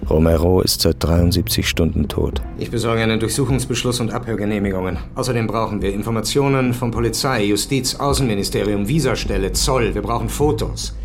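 Guy acting a police boss in a radio crime play, deep voice, measured and commanding, music underneath. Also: close to an everyday voice. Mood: commanding, urgent. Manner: measured, formal, authoritative. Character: male police commissioner, commanding, probing, controlled investigator. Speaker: lead actor in a crime radio drama.

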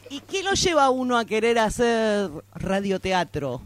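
A man's voice: high-pitched, very animated, moderate pace, fairly bright tone. A man hosting a casual radio interview in a studio, talking in a playful, joking way. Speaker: radio host.

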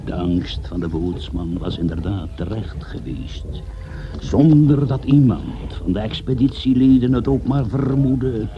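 A man's voice: lowish voice, lively intonation, a little slow. A man narrating a radio adventure play as scripted, suspenseful storytelling. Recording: dull, noisy old radio recording.

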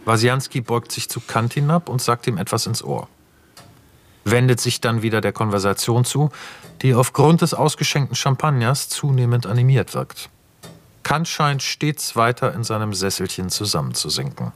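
A man's voice: mid-range voice, expressive, normal speed, natural voice. A man narrating scene and camera directions in a radio play, detached and scripted. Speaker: narrator reading screenplay directions.

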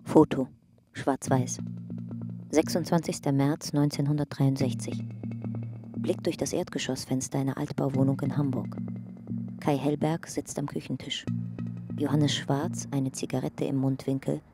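A woman reading a scripted radio narration in a calm, factual way, with music under her voice. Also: a bass voice, unhurried.

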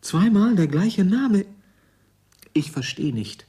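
A man's voice: high voice, sing-song, slow pace, mellow, husky, heavily acted. An actor playing a troubled, bewildered grown man who searches ponderously for his formal words in a German radio drama. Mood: bewildered, searching.

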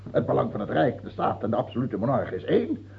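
A man's voice: medium pitch, huge pitch swings, fast talker, creaky, breathy edge, heavily acted. An actor playing a scheming, imperious aging French king in a radio play, theatrical, moving between murmured musing and scornful outbursts. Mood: scornful exasperation. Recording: dull, muffled old radio recording.

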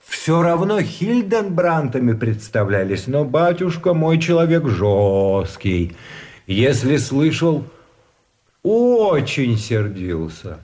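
An actor playing a pedantic, wordy gentleman prisoner of war in a radio play, reasoning in a measured way. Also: mid-range voice, sing-song. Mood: wistful. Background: instrumental music.

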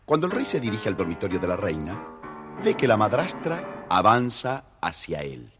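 A man's voice: lowish voice, wildly swooping pitch, moderate pace, music in the background. A man narrating a children's story dramatically, addressing his young listeners directly.

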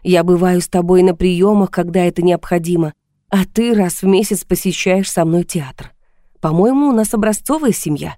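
A woman's voice: on the low side, normal speed, mellow, no room sound, studio quality, close to an everyday voice. A woman voicing a bitter, mocking wife in a failing marriage, with a cutting, sarcastic manner. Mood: cool, mocking.

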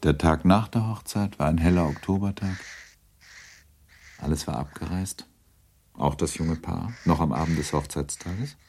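A man narrating a literary radio drama from a script in a measured, composed manner. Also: sits low, a little mellow, slight background noise.

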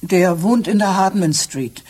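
An actress playing a shaken, upset housekeeper who speaks haltingly in a German radio crime drama. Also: female voice, on the low side, darkish tone, breathy edge. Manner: halting, upset, repeats herself. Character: distraught, shaken housekeeper who found her employer dead.

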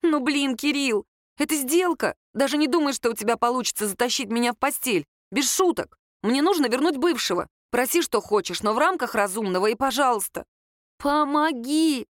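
A woman reader voicing a flustered, feisty young woman in audiobook dialogue, emotional and excitable. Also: sits high, moderate pace, dry recording, clean studio sound, voice pushed up. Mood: pleading. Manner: excitable, exclaiming.

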